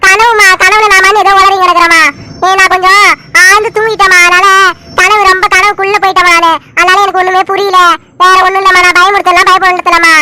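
Woman acting a high-voiced, bewildered young aunt. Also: level tone, quick pace, very noisy. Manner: bewildered, protesting. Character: dreamy, confused young aunt, easily exasperated. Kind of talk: dramatised family story dialogue.

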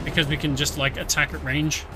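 A man's voice: a little high, flat delivery, a little fast. A man doing casual, informal live commentary as he plays a video game, explaining as he goes. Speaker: streamer, speedrunner. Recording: game music behind the voice. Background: video game music and vehicle sounds.

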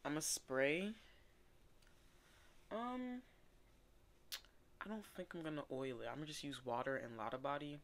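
A woman's voice: deep voice, a little slow, pauses often, a little hiss or hum. A young woman chatting casually to camera in a small bedroom, rambling with lots of fillers. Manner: rambling, loose, filler-heavy talk. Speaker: vlogger. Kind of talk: casual vlog chat.

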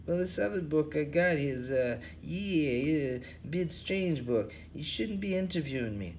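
A man's voice: animated, a little slow, creaky, a little mellow, a little hiss or hum. A man voicing a weary, self-pitying male drifter with genteel airs. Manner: listless, self-pitying, raised pitch.

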